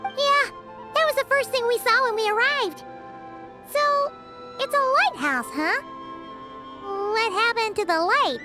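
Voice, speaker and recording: Game companion: a tiny excitable girl sidekick, squeaky and high, swooping delivery, quick to get scared. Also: loud music behind the voice, heavily acted. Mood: curious. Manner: excitable, easily spooked, animated. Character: tiny floating girl companion, chatty and jumpy. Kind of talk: video game dialogue.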